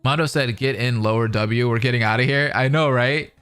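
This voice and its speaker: A man's voice: medium pitch, a little fast, fairly bright tone, clean tone, soft background music. An American man chatting casually with livestream viewers in a slangy, laid-back, conversational way. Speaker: streamer, reaction commentator. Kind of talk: casual livestream chat with viewers, reading out comments.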